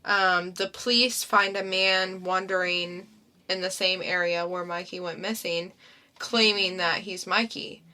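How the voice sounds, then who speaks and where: A young woman co-hosting a casual podcast, calmly recapping a comic's story. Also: on the low side, measured pace. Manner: laid-back storyteller recapping a plot. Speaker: podcast co-host.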